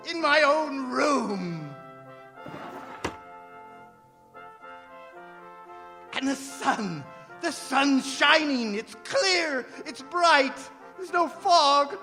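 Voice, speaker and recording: An old miser in a stage radio drama, repentant and wildly emotional. The voice is very high and swings widely, in slow, halting, theatrical phrases. It rings through a reverberant hall with music underneath. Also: male voice, pushed and bright, heavily acted. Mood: overjoyed. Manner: theatrical, halting, pleading delivery. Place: performing arts center stage.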